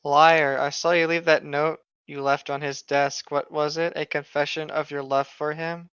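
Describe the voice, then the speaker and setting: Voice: mid-range voice, little pitch movement, moderate pace, a bit bright, clear, resonant tone, dry recording. A speaker doing a mocking dramatic reading with exasperated, sarcastic ad-lib commentary, on a slightly dull microphone. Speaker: co-reader riffing on a horror story.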